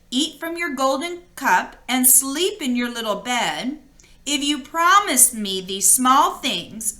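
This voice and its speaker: A woman's voice: high voice, bright, forceful tone, exaggerated voice. A woman reading the part of an earnest, pleading male frog from a storybook fairy tale. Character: male frog, patient, earnest, bargaining for affection.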